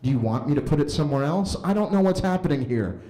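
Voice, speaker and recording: Animated comedian in a roomy basement. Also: male voice, fast. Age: 30s. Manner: animated storytelling, jumps into high voices for quoted lines. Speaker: stand-up comedian. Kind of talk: stand-up set. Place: basement open mic venue.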